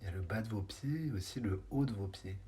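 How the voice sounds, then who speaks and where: A man leads a guided body scan meditation. His voice sits low. He speaks in short, unhurried phrases, leaving space between them and sometimes hesitating before the next one. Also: mellow.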